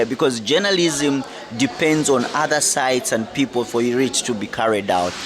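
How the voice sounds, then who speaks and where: Zambian male journalist, mid pitch, chatty rambling answers, crowd noise in background. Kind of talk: conversational interview answer.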